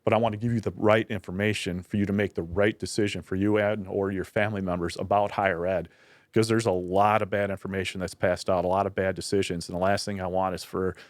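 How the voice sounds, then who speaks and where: Guest, male, low voice, dry close mic, earnest explainer. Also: level tone, quick pace, dry recording. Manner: earnest, explanatory, frequent restarts. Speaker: university director of military affairs, guest. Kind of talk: casual podcast conversation.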